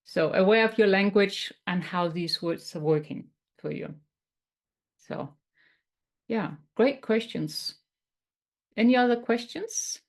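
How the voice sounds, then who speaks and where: A woman from Denmark with a Danish accent, teaching tapping in a webinar in a gentle, explanatory way with some non-native phrasing. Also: mid-range voice, slow, vocal fry, pauses often. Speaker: tapping coach.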